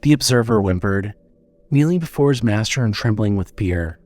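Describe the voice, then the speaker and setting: A man narrating a scripted horror audio drama in a measured, dramatic storytelling manner. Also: lowish voice, animated, darkish tone, no echo.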